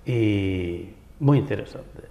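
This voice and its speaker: A man's voice: lowish voice, lively intonation, slow, vocal fry, warm tone, big-room sound. A man from Barcelona with a Peninsular Spanish accent, a writer and architect giving long, winding answers as a guest in a TV interview. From Barcelona, Spain. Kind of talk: TV interview conversation. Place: local TV studio.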